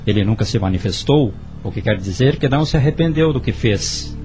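An actor playing a firm, protective husband in a radio drama, speaking deliberately and solemnly. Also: male voice, mid-range voice, lively intonation, music in the background, close to an everyday voice. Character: Celso, firm, protective husband advising his wife.

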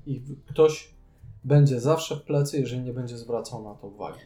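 A man talks casually as a podcast co-host. His voice is dark and mellow, sitting in a middle register. He gives reviews in a conversational way. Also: mid-range voice, moderate pace, faint music behind. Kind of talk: casual chat, board game review.

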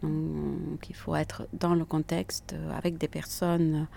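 Woman, middle-aged, very slow, gravelly, mellow low voice, thoughtful and hesitant. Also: little pitch movement. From Italy. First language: Italian. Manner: thoughtful, unhurried, frequent hesitations. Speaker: interviewee. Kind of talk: reflective personal testimony.

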